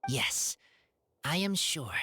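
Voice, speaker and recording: A woman voicing a sincere feral teenage boy raised by wolves in a video game, speaking in halting, simple phrases. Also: low voice, animated, music in the background. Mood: resolved. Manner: halting, terse broken phrases.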